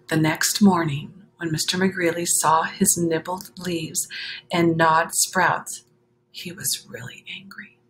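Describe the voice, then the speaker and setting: A woman reading a children's picture book aloud, expressive, with chatty explanatory asides to young listeners. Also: medium pitch, a little hiss or hum, rough recording.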